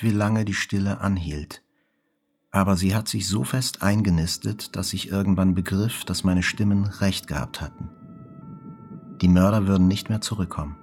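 An actor playing a wounded journalist who recalls an attack in a measured, reflective, scripted narration. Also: male voice, deep voice, slight background noise. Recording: prominent music under the narration.

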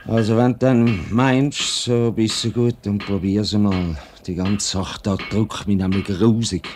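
An actor with a Swiss German accent playing an honest, dejected ageing factory worker in a 1950s radio drama, hesitant and resigned in manner. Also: male voice, lowish voice, fairly even intonation, unhurried, natural voice. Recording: noisy old 1950s radio recording, clicks.

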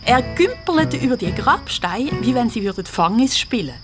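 A woman's voice: a little high, sing-song, moderate pace. A woman with a Swiss German accent narrates a children's audio drama in a vivid, conversational storytelling style. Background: music.

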